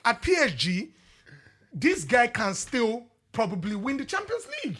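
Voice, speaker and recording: A young Nigerian man on a podcast with a husky, bright voice that swings up and down all over the place. He gets excited fast and laughs as he argues. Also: very high voice. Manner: animated, excitable, laughs mid-sentence. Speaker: podcast co-host. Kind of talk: casual chat.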